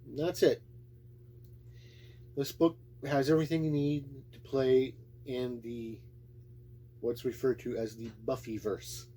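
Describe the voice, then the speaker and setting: A man giving a casual, unscripted game review in a halting, plain-spoken way, as a reviewer talking to viewers. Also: medium pitch, level tone, dark tone, a little hiss or hum, low-quality recording. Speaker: YouTube tabletop game reviewer.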